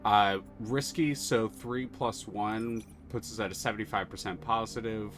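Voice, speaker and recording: Brief: man streamer, slow unhurried delivery, mutters plans aloud, curses when excited, soft music underneath. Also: lowish voice. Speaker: streamer playing a video game. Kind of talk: thinking aloud while playing.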